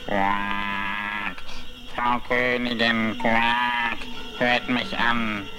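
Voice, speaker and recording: A man voicing a croaking frog in a fairy tale audio play, quacking between slow, drawn-out words. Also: medium pitch, little pitch movement, very noisy. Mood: solemn, croaking. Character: croaking frog prophesying to a queen. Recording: 1970s vinyl record with loud music.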